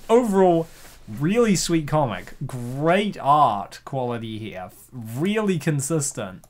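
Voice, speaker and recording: A man's voice: medium pitch, big pitch swings, slow pace. A man hosting a comic reading, giggling often and rambling with lots of fillers. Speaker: host reading a comic dub. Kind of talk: casual chat.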